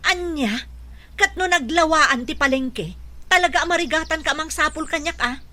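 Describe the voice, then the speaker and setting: A woman's voice: high-pitched, moderate pace, sharp tone. An actress playing an exasperated wife and mother who nags and complains indignantly in a radio drama. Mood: indignant, angry. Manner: nagging, complaining. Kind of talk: Ilocano radio drama acting.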